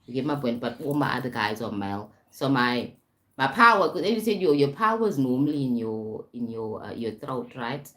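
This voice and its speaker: A woman with a very deep voice, talking casually on a live stream as a spiritual coach, often repeating herself and checking in with "right?". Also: very animated, normal speed. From South Africa. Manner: repetitive, frequent "right?" check-ins. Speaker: livestream host, spiritual coach.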